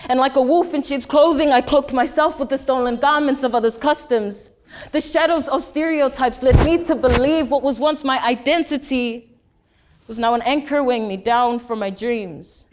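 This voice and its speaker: A young woman in her late teens, originally from Samoa, speaks. Her voice sits high. She delivers a rehearsed speech with deliberate pauses, slowing down hard on the lines she wants to land. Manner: emphatic, slows right down on key phrases. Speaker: student speaker. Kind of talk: prepared speech competition oratory. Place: school speech competition.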